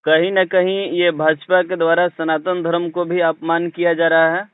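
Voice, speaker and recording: Clear-voiced male journalist on phone. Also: fairly high pitch, flat delivery, normal speed, no echo. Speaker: journalist interviewer. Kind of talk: phone interview questioning. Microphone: phone call. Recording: muffled lo-fi phone call recording.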